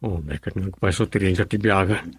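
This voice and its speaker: An actor playing an easygoing, broke husband who works construction, in a radio drama. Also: male voice, low voice, brisk, breathy edge, no room sound.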